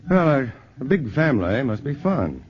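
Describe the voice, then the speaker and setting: A man's voice: mid-range voice, big pitch swings, moderate pace, lightly acted. A man playing a level-headed scientist in a 1950s radio drama, speaking steadily and reassuringly. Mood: easygoing. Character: level-headed male scientist, adult, calm and protective. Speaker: scientist hero in a radio play. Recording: muffled old radio broadcast with faint hiss.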